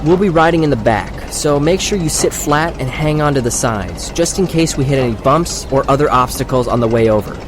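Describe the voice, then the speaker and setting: A man's voice: medium pitch, expressive, fairly quick, few pauses, noisy background, natural voice. A man acting a stern young hiking guide in an audiobook drama, firm and authoritative, lecturing his group. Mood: instructing.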